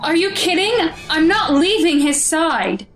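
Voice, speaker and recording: A woman's voice: extremely high pitch, animated, a little slow, a bit bright, faint music behind, full character voice. A woman playing a protective, distressed mother in an audio drama, defensive and anxious about her child. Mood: incredulous, defensive.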